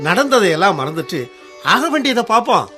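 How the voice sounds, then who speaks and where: A dignified uncle in a radio play, earnest and conciliatory. His voice is high and very animated, with faint music behind it. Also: fairly quick. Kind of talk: Tamil radio drama acting. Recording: faint music bed under the voice.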